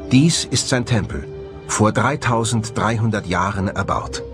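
A man presenting scripted documentary narration in an expressive, dramatic manner. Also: on the low side, unhurried, natural voice. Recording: music under the voice. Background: music.

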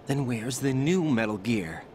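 An actor playing a young soldier in a video game who answers in short, incredulous questions. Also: male voice, mid-range voice, animated, unhurried, darkish tone, slight background noise, lightly acted. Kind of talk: video game cutscene voice acting.

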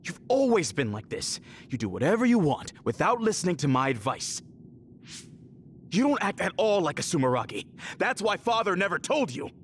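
An actor voicing a stern, fanatical elder brother in a video game, commanding and condescending in dramatic delivery. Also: male voice, high voice, very animated, normal speed, slight background noise. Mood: fervent, forceful. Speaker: villain. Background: dramatic background music.

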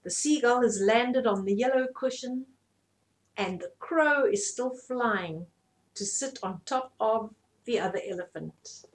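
A woman in her fifties reading a story aloud to children in an animated way, often asking the listener questions. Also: on the high side, measured pace, poor audio. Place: small indoor room at home.

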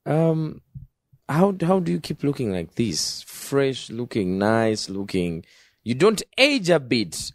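A man's voice: mid-range voice, big pitch swings, takes it slowly, dry recording. A man in his thirties with a Ugandan accent, hosting a TV studio interview and mixing English and Luganda in an upbeat way. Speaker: talk show host. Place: indoor TV studio set. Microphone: handheld mic.

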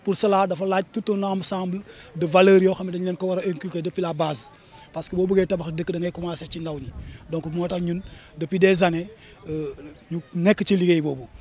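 High-pitched Senegalese man giving an interview. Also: steady tone, quick pace, darkish tone. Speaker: association vice-president. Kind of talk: on-camera interview. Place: outdoors on a sandy football pitch. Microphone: handheld reporter microphone.

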